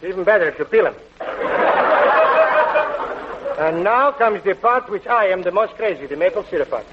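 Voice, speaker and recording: A man's voice: high voice, lively intonation, fast talker. A man playing a cocky, muddled diner owner in an old radio comedy, mangling English in a comic foreign dialect. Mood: earnest, muddled. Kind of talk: 1940s radio comedy acting. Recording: dull, very noisy old radio recording.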